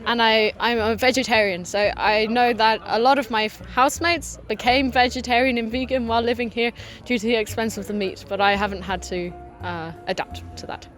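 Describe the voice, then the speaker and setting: A woman's voice: a little high, steady tone, fairly quick, hardly any pauses, fairly bright tone, soft background music. Articulate young woman. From Ireland. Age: young adult. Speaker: exchange student. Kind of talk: interview answers.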